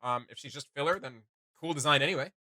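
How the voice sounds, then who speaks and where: Bright-voiced enthusiastic man. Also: a little high, fast talker, no echo. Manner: enthusiastic, slangy. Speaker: host of a fan reaction show. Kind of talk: casual reaction commentary.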